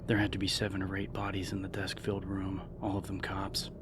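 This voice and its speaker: A man reading a novel as an audiobook narrator, in a measured, serious, dramatic style. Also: deep voice, completely flat delivery, noisy background. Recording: narration over background music.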